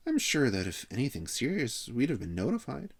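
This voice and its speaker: Guy doing a polite worried mom in a storybook, fretting, pitched up from his own voice. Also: huge pitch swings, brisk, gravelly, slightly rough, exaggerated voice. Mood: polite reassurance. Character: worried, proper middle-aged mother, gently exasperated. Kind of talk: children's audiobook character voice.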